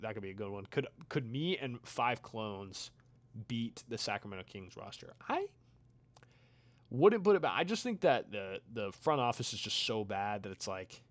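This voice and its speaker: A young man chatting casually with his chat while playing a video game. His voice is getting tired, and he rambles, trails off into quiet mumbles, then comes back in short louder bursts. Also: medium pitch, no room sound. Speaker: streamer reading chat.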